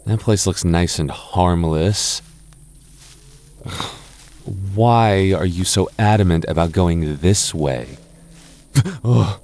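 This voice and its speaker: Guy playing a jumpy young goth boyfriend in an audio roleplay, really low voice, stammers and sighs, protective but easily spooked. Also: expressive, very slow, long pauses, a little mellow, exaggerated voice. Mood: nervous, exasperated. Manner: hesitant, stammering, sighing, sheepish.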